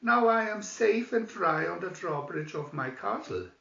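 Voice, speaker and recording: A man plays a tiny young mouse princess from a storybook, timid and dainty. The voice is lifted and slow, recorded in a roomy space. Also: high-pitched, lively intonation, vocal fry, bright, forceful tone, voice pushed up, exaggerated voice. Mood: grateful relief. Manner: dainty, sighing. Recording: echoey room, distant dull microphone.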